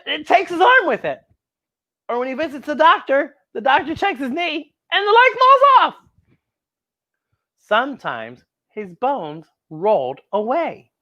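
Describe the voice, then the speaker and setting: A woman hosting a children's story time, talking and reading playfully to young kids, with exaggerated exclamations, drawn-out words and questions to the listeners. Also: on the high side, fairly bright tone. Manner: draws out words for emphasis. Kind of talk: playful read-aloud and chat to young children.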